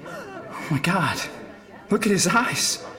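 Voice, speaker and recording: An actor playing an excitable, anxious young college student in a radio drama. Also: male voice, high-pitched, slow pace, full character voice. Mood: panicked. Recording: roomy echo, music underneath.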